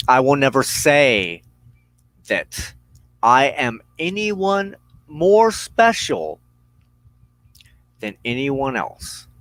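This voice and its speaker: A man hosting a spiritual broadcast, giving a reflective, unscripted monologue in an earnest, halting way with emphatic phrasing and pauses. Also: mid-range voice, a bit bright, no room sound, low-quality recording. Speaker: host of a weekly spiritual broadcast.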